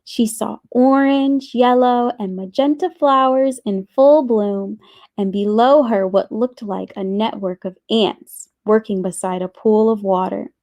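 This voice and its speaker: A woman reading a children's storybook aloud with expressive, deliberate phrasing. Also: fairly high pitch. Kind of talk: scripted storybook narration.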